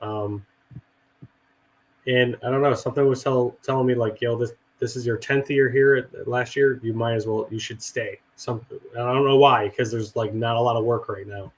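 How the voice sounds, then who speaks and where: A middle-aged man with a hoarse, raspy voice from a cold, rambling and swearing casually in a relaxed chat. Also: medium pitch, normal speed, rough recording. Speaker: veteran guest and actor.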